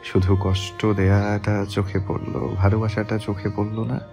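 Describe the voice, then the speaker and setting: A man playing a tender young husband in a romantic audio story, speaking softly and gently. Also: little pitch movement, moderate pace, natural voice. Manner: soft, gentle, low-voiced. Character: tender young husband, soft-spoken and teasing. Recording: soft background music.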